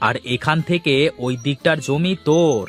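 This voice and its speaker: A man's voice: on the high side, normal speed, soft background music, lightly acted. A man voicing a sly, selfish, bossy elder brother in a cartoon. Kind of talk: Bengali cartoon dialogue.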